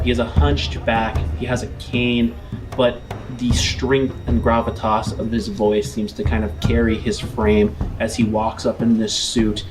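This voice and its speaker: A man's voice: medium pitch, poor audio. A man narrating a tabletop roleplaying game as game master, improvising scene descriptions with music underneath.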